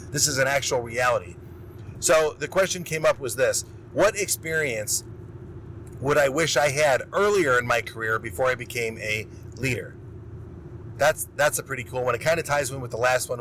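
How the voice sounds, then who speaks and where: Hoarse-voiced man hosting a motivational podcast. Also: mid-range voice, slight background noise, rough recording. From Illinois. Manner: energetic, rambling, self-interrupting. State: losing his voice. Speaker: podcast host. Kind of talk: casual motivational monologue.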